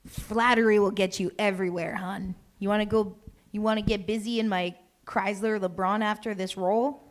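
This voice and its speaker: A woman's voice: mid-range voice, fairly even intonation, normal speed, lightly acted. A woman playing a crude, flirtatious man who cheats at bowling, read casually and brazenly in a live comedy table read.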